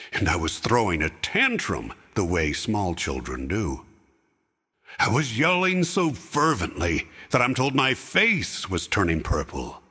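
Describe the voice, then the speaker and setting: Casting: a man voicing a sorrowful aging king, deep husky voice with a forceful edge and wide swings in pitch, heard with long hall reverb. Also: exaggerated voice. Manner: slow, heavy reminiscing. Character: grieving aging king, stern yet sorrowful. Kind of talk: fan audio drama acting.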